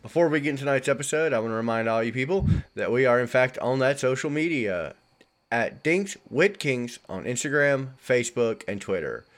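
A man hosting a chatty podcast, his voice a little gravelly as he gets over an illness, cracking puns as he talks. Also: mid-range voice, lively intonation, brisk. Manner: punning, joking. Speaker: podcast host. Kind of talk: casual chat.

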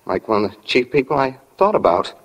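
An actor playing an earnest, bashful small-town teenage boy in love, halting and sincere, in a 1940s radio drama. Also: mid-range voice, sing-song, husky, rough recording. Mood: earnest, shy.

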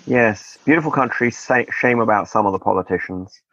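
Gravelly man hosting a podcast. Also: lowish voice, fairly quick, a bit bright, dry recording. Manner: chatty, digressive, wry asides. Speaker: podcast host. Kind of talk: casual interview chat. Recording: dull lo-fi dry sound.

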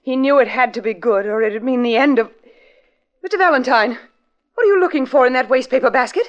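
A woman's voice: high voice, quick pace, highs cut off, natural voice. An actress playing the anxious, evasive wife of a missing man, hesitant and defensive, in old-time radio mystery style. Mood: anxious, urgent.

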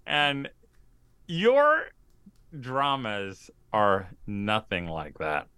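Male co-host, crisp and bright, mid pitch, emphatic casual talk with dramatic pauses. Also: wildly swooping pitch, no echo. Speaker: podcast co-host.

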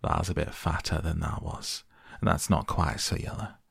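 A man voicing a gruff, sarcastic old Yorkshire gardener with blunt, grumbling dialect speech in an audiobook story. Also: very deep voice, normal speed, fairly bright tone, dry recording, studio quality, exaggerated voice. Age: older.

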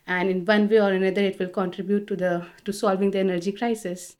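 A woman's voice: mid-range voice, flat delivery, fast talker, a little mellow. A woman in her thirties from India with an Indian accent, answering interview questions in a conversational, explanatory way. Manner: explanatory, frequent "you know". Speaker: engineer, interview guest.

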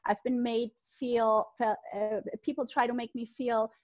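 A woman's voice: on the high side, flat delivery. A German-born woman in her thirties with a German accent, answering interview questions frankly, laughing easily and restarting her sentences. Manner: frank, self-deprecating, laughs often, halting restarts. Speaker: guest, real estate agent.

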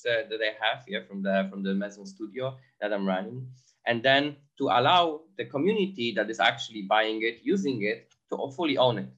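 A man's voice: medium pitch, fairly bright tone, muffled recording. A man from Poland, speaking English as a second language, answering interview questions with many fillers and restarts. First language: Polish. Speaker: guest entrepreneur.

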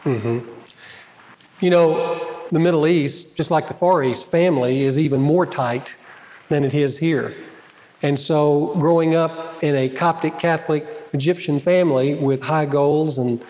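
A man preaching a sermon to a congregation, dramatic and emphatic, swinging between loud stresses and quiet asides. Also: on the high side, lo-fi sound. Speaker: pastor. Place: church sanctuary. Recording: large hall with long reverb.

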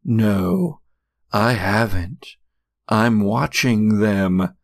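A sinister watcher in a horror audio drama, voiced by a man, brooding and patient. The delivery is very deliberate and slow, menacing in every phrase, captured close and dry. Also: lowish voice, vocal fry, no room sound, full character voice.

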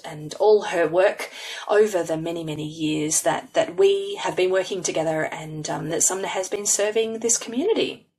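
Rambling, softly spoken woman host. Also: lowish voice, recorded from a distance. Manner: warm, rambling with many fillers and restarts. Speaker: podcast host. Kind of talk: casual podcast introduction.

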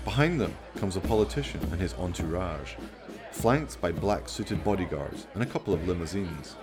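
A man's voice: low-pitched, expressive, normal speed, over music. A man narrating a scripted radio play as its storyteller.

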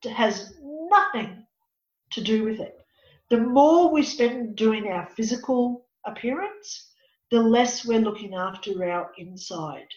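A woman in her fifties with a raspy voice, speaking as an interview guest and life coach. She talks in an emphatic, preachy way and often says "you know.". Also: a little high, expressive, slow, darkish tone. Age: 50s. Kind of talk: earnest personal conversation. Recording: echoey, dull lo-fi audio.

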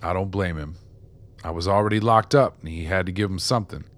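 A man's voice: deep voice, brisk, close to an everyday voice. An actor playing a remorseful, ragged old ex-con in a radio drama, world-weary and streetwise as he confesses. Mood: bitter, resigned. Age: older.

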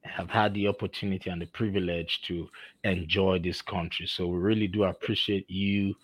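A man speaks with a Nigerian accent, hosting a conversational interview show. His voice sits a little low, and he talks effusively, pausing often on fillers as he goes. The sound is dry and close. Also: flat delivery, dry recording. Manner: effusive, halting with frequent fillers. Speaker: podcast host.

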